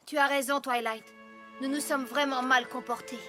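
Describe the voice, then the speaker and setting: Woman as plucky female cartoon pony, very high-pitched, emphatic, plain-spoken, French dub, prominent music. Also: fairly even intonation, moderate pace, lightly acted. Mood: contrite. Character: stubborn, plucky young female cartoon pony, honest competitor.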